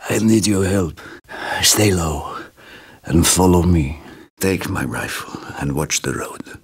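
An actor playing a vengeful, commanding middle-aged Soviet sniper in a video game, with a Russian accent, a gravelly, husky voice and dramatic, deliberate delivery. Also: male voice, low voice, exaggerated voice. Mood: grim, low intensity. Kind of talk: video game character acting.

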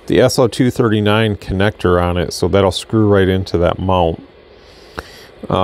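An American man with a low-pitched voice talks through a product demo. His delivery is casual and conversational, wandering from point to point as a hobby reviewer would. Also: darkish tone. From Michigan, United States. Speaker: radio reviewer.

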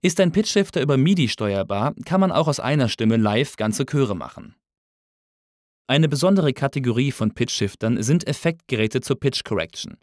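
A man narrating a scripted audio engineering tutorial in a clear, orderly, explanatory way. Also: mid-range voice, no echo, clean studio sound. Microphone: close studio voice-over mic.

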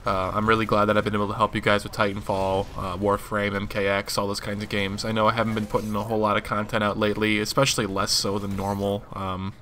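A hoarse young man in his twenties, rambling casually through a channel update for his viewers. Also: lowish voice, quick pace, few pauses, slight background noise. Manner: rambling, relaxed, many fillers. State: hoarse from allergies. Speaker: gaming channel host.

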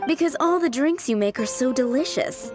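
An actress playing a polite, cheerful, gently firm female tavern manager in video game dialogue. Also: sits high, expressive, moderate pace. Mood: cheerful, teasing. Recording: background music under the dialogue.

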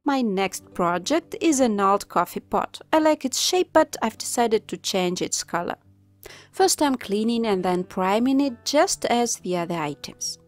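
A woman with a somewhat high-pitched voice explains a home craft project in a calm, instructive voice-over. Soft music plays faintly underneath. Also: unhurried. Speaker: craft channel host. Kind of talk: step-by-step voice-over narration. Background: soft background music.

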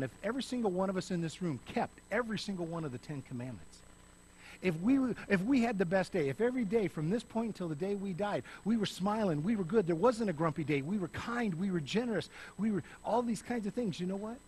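A middle-aged man preaching on a church stage, swinging between loud emphasis and soft, hushed passages. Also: high-pitched, lively intonation, fairly quick, slight background noise, poor audio. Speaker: pastor. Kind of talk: sermon. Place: church stage, in front of a lit backdrop.

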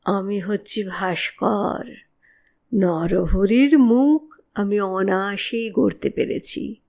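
A woman's voice: mid-range voice, slow, vocal fry, a little mellow, voice pushed down. A woman voicing a practical, self-assured male artist with a composed, reasoning manner in an audio story. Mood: confident.

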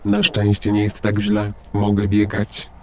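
A Polish man with a husky, gravelly voice giving gameplay commentary in short remarks. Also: lowish voice, unhurried. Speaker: gaming video narrator.

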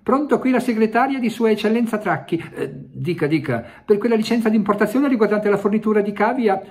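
Man doing a brisk woman secretary, high quick voice, storybook phone call. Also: a little mellow.

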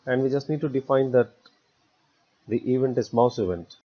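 A man narrating a step-by-step screencast tutorial as an instructor, phrasing haltingly in short, repetitive chunks. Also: mid-range voice. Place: voice-over for a screen recording. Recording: dull, lo-fi voice recording.

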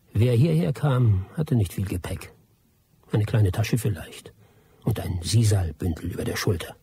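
A world-weary middle-aged trader in a radio play, speaking in a very husky, dark voice. The delivery is measured, dry and sceptical, pitched on the low side. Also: male voice, heavily acted. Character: world-weary middle-aged English expatriate typewriter dealer, gruff, sceptical. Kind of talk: radio play narration and dialogue.

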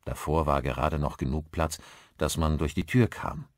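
A man narrating a thriller audiobook in a measured, articulate storytelling manner. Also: very deep voice, dry recording.

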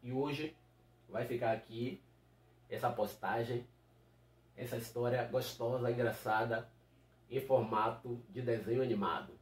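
A man's voice: mid-range voice, steady tone, a little slow, pauses often. A middle-aged man talking casually to camera as host, in a small room. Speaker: channel host. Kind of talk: casual vlog introduction to camera. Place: small home room with computer, indoors. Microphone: camera a short distance away. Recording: roomy, slightly distant microphone.

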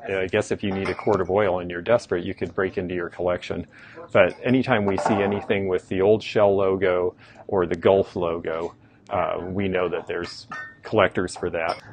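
Low-voiced man touring an antique mall. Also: a little mellow, no room sound. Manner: knowledgeable, explaining items as he browses. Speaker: antique dealer and show host. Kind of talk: casual walkthrough vlog. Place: large indoor antique mall.

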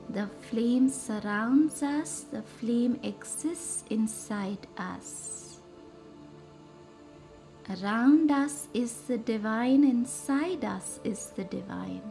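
A woman leads a guided meditation in short, soothing, measured phrases with reverent pauses. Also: fairly high pitch, warm tone. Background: music.

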